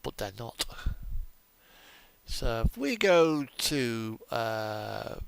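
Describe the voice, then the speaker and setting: Slow, creaky-voiced man casually thinking aloud. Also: mid-range voice, very animated, no echo. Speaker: gaming video host. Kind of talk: casual, rambling gameplay commentary.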